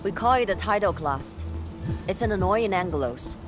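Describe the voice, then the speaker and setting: A woman's voice: mid-range voice, animated, moderate pace, music in the background, lightly acted. An actress voicing a stern, confident female guard officer in a video game, curt and commanding with dry teasing. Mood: businesslike. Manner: curt, commanding, dryly mocking.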